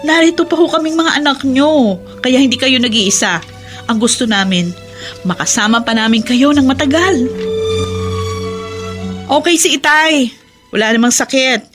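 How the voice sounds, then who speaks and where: A woman's voice: high voice, normal speed, pauses often, a bit bright, soft background music. A woman with a Filipino accent playing a caring, worried grown daughter in a radio drama, earnest and pleading. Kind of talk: Tagalog radio drama acting.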